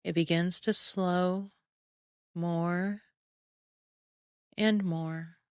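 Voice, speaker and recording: A woman narrating a scripted guided relaxation in a soothing, measured way, with short phrases and long pauses. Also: lowish voice, steady tone, smooth, no echo, studio quality. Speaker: guided imagery meditation narrator.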